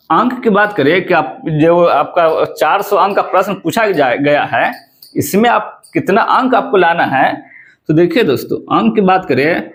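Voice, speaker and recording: A man with an Indian accent presenting an informational explainer to viewers, often repeating phrases for emphasis. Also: sits high. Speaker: presenter of exam news videos. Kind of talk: informational explainer to camera or voice-over, addressing viewers.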